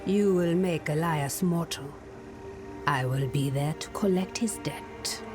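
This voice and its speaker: A woman playing a proud, guarded witch in a fantasy game, speaking in a measured, cryptic and solemn way. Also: low voice. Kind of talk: dramatic fantasy game dialogue. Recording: dramatic music behind dialogue.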